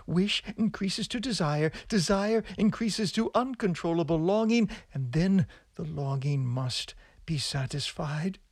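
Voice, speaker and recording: A man voicing a chained, doomed madman in a gothic horror tale, with fevered, theatrical, drawn-out delivery. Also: on the high side, breathy edge, no room sound, clean studio sound, full character voice. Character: chained, doomed madman haunted by an inner imp.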